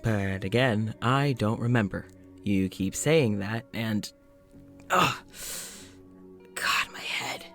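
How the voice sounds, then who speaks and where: A man playing a weary, frustrated doctor with memory loss in an audio drama, recording a spoken journal with halting, sighing delivery. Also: on the low side, fairly bright tone, soft background music. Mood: pained, weary. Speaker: voice actor playing podcast's lead character.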